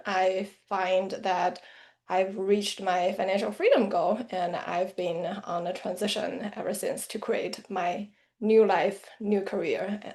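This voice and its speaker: A woman's voice: mid-range voice, a little mellow. Earnest woman with a Chinese accent. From central China. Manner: earnest, reflective. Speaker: financial planner, guest. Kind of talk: interview answers.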